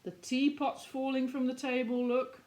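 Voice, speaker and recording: A woman reading a picture book aloud to young children, expressive, with questions and asides to her listeners. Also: high voice, normal speed. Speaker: children's story reader.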